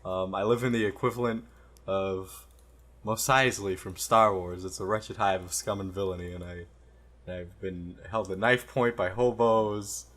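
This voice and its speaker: Joking young Canadian man, lowish voice. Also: fairly even intonation, a little slow, fairly bright tone. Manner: joking, contrarian, laughs while talking. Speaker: podcast co-host. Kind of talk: casual chat.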